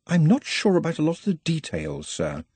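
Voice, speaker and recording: A man voicing an erudite, polite servant creature with a precise, pedantic, deliberate manner in a fantasy audiobook. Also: fairly high pitch, huge pitch swings, a little mellow, husky, no room sound, pitched above the performer's own voice, heavily acted. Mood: earnest, precise.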